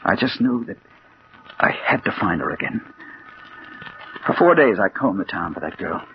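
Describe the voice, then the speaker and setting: A man's voice: lowish voice, big pitch swings, normal speed. An actor playing an agitated, obsessed man in a 1940s radio mystery, telling his story with urgent intensity. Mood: grim, haunted. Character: obsessed, agitated man named Storm hunting a mysterious woman. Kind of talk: 1940s radio mystery acting, first-person narration and dialogue. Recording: dull, noisy 1940s radio recording.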